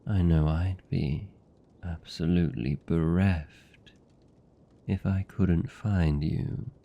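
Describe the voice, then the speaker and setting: A seductive British vampire played by a man, holding someone captive and doting on them. His voice is very low and extremely dark and soft, with a heavy creak. He teases in an intimate, velvety fantasy ASMR style. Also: highs cut off, exaggerated voice. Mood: mock sympathy. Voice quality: deep, dark, gravelly murmur. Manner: slow, teasing, velvety endearments. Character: seductive, possessive male vampire captor, teasing and doting.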